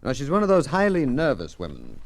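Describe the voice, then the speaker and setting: An actor playing a shrewd police detective in old radio mystery style, measured and authoritative. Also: male voice, medium pitch, huge pitch swings, no echo. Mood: probing, firm. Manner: measured, deliberate, authoritative questioning. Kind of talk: 1940s radio mystery acting.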